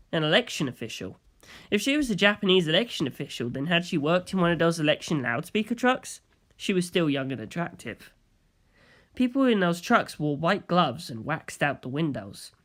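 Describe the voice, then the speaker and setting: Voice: medium pitch, sing-song, brisk, fairly bright tone. A narrator reading a scripted story in the first person.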